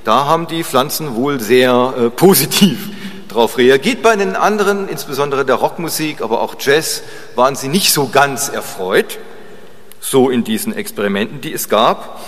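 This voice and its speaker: Halting male preacher in a very echoey hall. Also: medium pitch, lively intonation, fairly bright tone. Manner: halting, with frequent pauses and repeated phrases; chatty, seeks agreement with short tag questions. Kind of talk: informal sermon with digressions. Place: large reverberant room at a church service. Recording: long reverb of a large hall.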